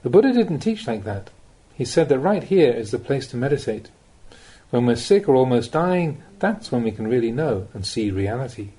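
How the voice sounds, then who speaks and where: Measured man reading teachings, lively intonation. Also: medium pitch, husky. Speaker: Buddhist monk reading recorded teachings. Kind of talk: audiobook reading of a Dhamma talk.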